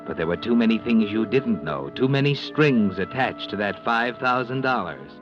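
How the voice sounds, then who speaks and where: Man plays sinister knowing narrator: low-pitched, taunting, old-time mystery radio, music underneath. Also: expressive, moderate pace, lightly acted. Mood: mocking. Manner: ominous, taunting second-person address. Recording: muffled vintage radio recording.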